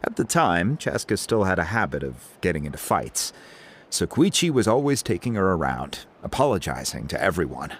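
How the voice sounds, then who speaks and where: An actor playing a laid-back older man in a video game, a wise, caring family friend telling things in a measured, conversational way. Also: low voice, sing-song, slight background noise. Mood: reflective.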